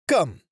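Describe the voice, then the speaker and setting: A man voicing a poised, courteous and patient etiquette teacher in an ASMR roleplay, speaking in a measured, formal, theatrical manner. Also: fairly high pitch, gravelly, darkish tone, husky, dry recording, clean studio sound, heavily acted.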